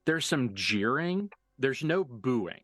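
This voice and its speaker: A man working as game master, improvising narration for a tabletop roleplaying game with deliberate, halting phrasing and dramatic emphasis. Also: mid-range voice, big pitch swings, a bit bright, no room sound. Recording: dry voice over background music.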